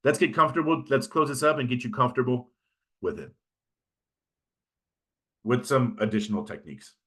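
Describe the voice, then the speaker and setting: A man's voice: medium pitch, sing-song, moderate pace, pushed and bright, slightly rough. A man with a Californian American accent giving an informal workshop lecture in a conversational way, often checking in with his listeners. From California, United States. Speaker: instructor giving a workshop on pitching.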